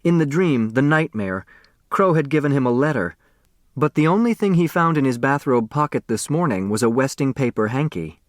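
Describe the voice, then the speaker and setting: A man's voice: mid-range voice, animated, normal speed, no room sound. Male audiobook narrator, dry close sound. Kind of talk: expressive scripted narration of a children's novel.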